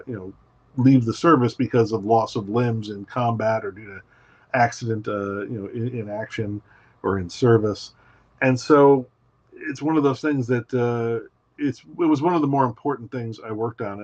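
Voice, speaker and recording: A man being interviewed as a guest writer, telling his story in an emphatic, animated way. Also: mid-range voice, highs cut off. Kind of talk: casual interview.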